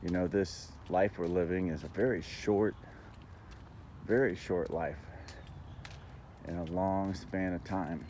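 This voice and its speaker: A man talking in a casual, rambling monologue, halting but earnest and opinionated, as a vlogger. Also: low-pitched, steady tone, a little mellow, slight background noise.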